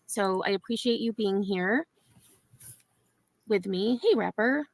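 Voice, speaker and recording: A woman's voice: mid-range voice, a little slow, gravelly. A woman hosting a livestream, chatting casually with her viewers. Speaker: livestream host.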